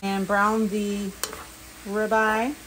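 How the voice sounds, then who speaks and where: Older woman, home cook vlogger, chatty step-by-step explainer, mid-pitched, kitchen setting. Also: completely flat delivery, drawn-out words, a bit bright, a little hiss or hum, rough recording. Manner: chatty, explains each step plainly with fillers. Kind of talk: casual cooking vlog.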